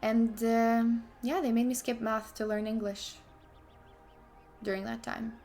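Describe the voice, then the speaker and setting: A woman's voice: on the high side, level tone, unhurried, darkish tone, soft background music. A young woman from Ukraine chatting casually on a stream, often restarting sentences and slipping in asides to herself. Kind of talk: casual chat while playing chess.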